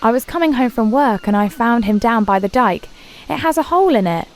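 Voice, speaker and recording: A woman's voice: on the high side, animated, brisk. A hearty, exclaiming village man from a storybook, read by a woman, with some noise behind the voice. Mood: excited.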